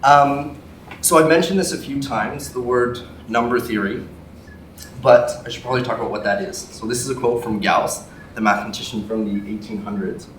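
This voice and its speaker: A young man from Canada with a Canadian accent, giving a public maths lecture in a relaxed, friendly way with frequent ums. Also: mid-range voice, moderate pace. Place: lecture hall. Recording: echoey hall from far off, faint noise.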